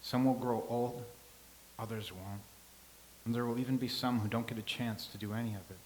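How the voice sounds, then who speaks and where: A man's voice: medium pitch, fairly even intonation, normal speed, natural voice, rough recording. An actor playing a solemn male scribe from ancient times in a staged play reading, speaking earnestly and philosophically, with faint hiss.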